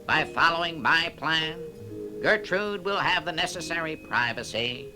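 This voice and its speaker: A man's voice: a little high, animated, unhurried, voice pushed up. A man voicing a self-important duck administrator on a children's storybook record, speaking in a pompous, formal, wordy way. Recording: vintage record with music behind.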